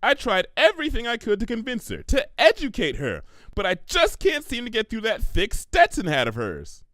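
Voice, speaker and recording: A man's voice: extremely high pitch, wildly swooping pitch, moderate pace, bright, forceful tone, no echo, voice pushed up, heavily acted. A man voicing an earnest, bookish female unicorn pony who is exasperated and authoritative, speaking in a wordy, lecturing, emphatic way.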